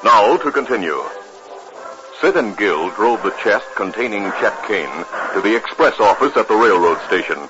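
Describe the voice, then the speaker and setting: A man's voice: lowish voice, expressive, moderate pace, over music. An American man announcing and narrating in a polished, dramatic 1950s radio style. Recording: very noisy, muffled 1950s radio recording.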